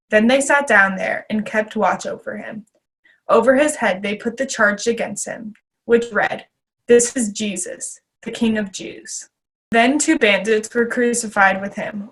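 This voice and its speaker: A woman's voice: mid-range voice, fairly bright tone, rough recording. A woman reading scripture as the narrator of a readers theater piece. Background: faint guitar music.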